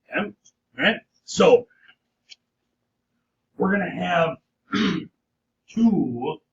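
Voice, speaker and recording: A middle-aged male teacher with a hoarse voice, lecturing a class and checking in with frequent "okay?" questions. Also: fairly high pitch, a bit bright, a little hiss or hum. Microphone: camera at a distance. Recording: roomy, distant, dull classroom sound.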